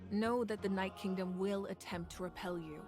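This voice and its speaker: A woman's voice: mid-range voice, fairly even intonation, lightly acted. Woman playing a composed, commanding fire ruler. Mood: steady. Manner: composed, measured, earnest. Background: game music.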